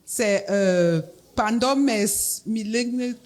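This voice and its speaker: A woman from a church congregation speaking haltingly, with pauses and restarts. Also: fairly high pitch, a little mellow. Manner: hesitant, halting delivery. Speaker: church member.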